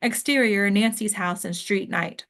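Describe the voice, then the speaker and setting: A woman reads stage directions from a screenplay. Her voice sits at an average female pitch. The delivery is scripted narration for an actors' table read. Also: a little slow, a bit bright.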